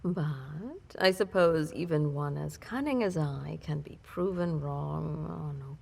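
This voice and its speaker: A haughty vampire mistress speaks in a low, creaky voice that slips into breathy whispers. She draws out her archaic phrases with regal disdain and cunning, as in an intimate roleplay audio drama. Faint background noise sits behind her. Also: a little mellow, full character voice. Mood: fond, haughty. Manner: haughty, archaic, theatrical phrasing with lingering pauses.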